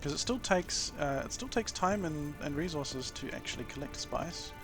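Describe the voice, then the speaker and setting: A man from New Zealand with a New Zealand accent, giving relaxed, rambling gameplay commentary full of ums, his sentences often rising at the end. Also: fairly high pitch, moderate pace. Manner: sentences ending with an upward inflection. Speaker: gaming YouTuber. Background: epic game soundtrack music.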